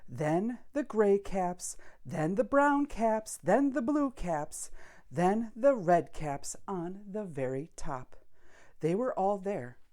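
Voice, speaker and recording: Lady reading a kids picture book, takes it slow, expressive, lots of up n down, dramatic pauses. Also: medium pitch. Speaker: storyteller. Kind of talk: picture-book read-aloud for children.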